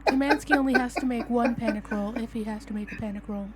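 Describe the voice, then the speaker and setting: High-pitched woman playing a tabletop game. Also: steady tone, fast talker. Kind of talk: casual game table chat.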